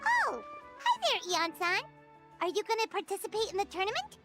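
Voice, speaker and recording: Squeaky game fairy companion, extremely animated, chirpy, loud music behind. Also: female voice, a little fast, full character voice. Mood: friendly, curious. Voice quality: squeaky, childlike. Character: tiny chirpy, eager childlike fairy travel guide. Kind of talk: cartoonish video game voice acting. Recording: prominent playful music behind.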